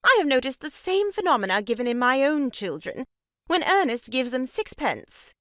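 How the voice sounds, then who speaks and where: A pompous, aggrieved busybody in an audio farce. She declaims in a high, very animated voice, turning each reproach into grand melodrama. The sound is close and dry. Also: normal speed, no echo. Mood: indignant, melodramatic. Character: meddling, theatrically aggrieved sister-in-law, grandly moralizing woman. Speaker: voice actor. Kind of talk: stage farce audio drama acting.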